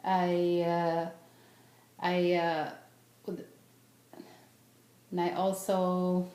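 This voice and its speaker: A woman's voice: fairly low pitch, completely flat delivery, warm tone. Middle-aged woman in an echoey room. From born in Beirut, raised in the United States. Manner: halting, unpolished, pausing often to think. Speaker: student speaker, registered nurse. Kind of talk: informal self-introduction speech. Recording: echoey room with background noise.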